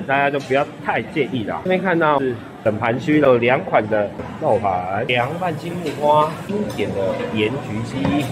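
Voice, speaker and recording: A man with a Taiwanese Mandarin accent, chatting casually as he walks through a busy hotel buffet and lists the dishes one by one, often adding little tags like "ha" and "a". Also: mid-range voice. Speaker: food vlogger. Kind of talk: casual restaurant walkthrough. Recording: noisy restaurant background.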